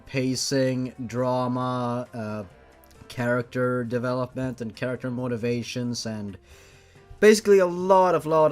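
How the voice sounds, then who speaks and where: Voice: mid-range voice, a little mellow. A host giving casual comedic reaction commentary on amateur fiction, melodramatic and exasperated, swearing freely. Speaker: host of a fan fiction reading series.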